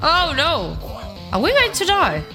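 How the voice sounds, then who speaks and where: A woman's voice: extremely high pitch, wildly swooping pitch, measured pace. A woman with a cold hosting a let's-play, chatting casually and thinking aloud as she plays. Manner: chatty, thinks aloud while playing, hums along. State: has a cold. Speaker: gaming video host. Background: game music.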